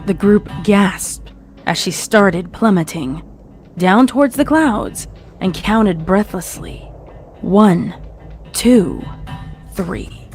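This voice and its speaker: A woman's voice: medium pitch, lively intonation, slow, long pauses, darkish tone. A woman reading a scripted story as its narrator, in a dramatic storytelling style. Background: faint music.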